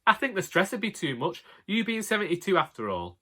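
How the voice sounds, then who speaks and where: Fella putting on a brash northern older lady, high voice, fake sympathy, audiobook gossip. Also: very animated, fairly quick, pushed and bright, full character voice. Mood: falsely sympathetic.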